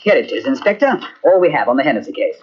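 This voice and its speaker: An actor playing a terse, plain-spoken detective. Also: male voice, medium pitch, big pitch swings, fast talker, husky. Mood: blunt. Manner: blunt, terse. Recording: dull old radio transcription.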